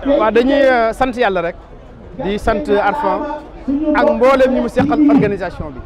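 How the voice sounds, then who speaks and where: A man's voice: very high-pitched, moderate pace. A man speaking as an official representative, in a formal, declamatory way. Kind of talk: formal address. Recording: loud crowd, outdoor rally.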